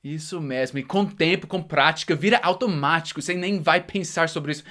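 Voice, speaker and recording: A man's voice: on the high side, a bit bright. Man teaching English grammar. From United States. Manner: explains patiently, slows down for example sentences. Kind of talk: bilingual grammar lesson.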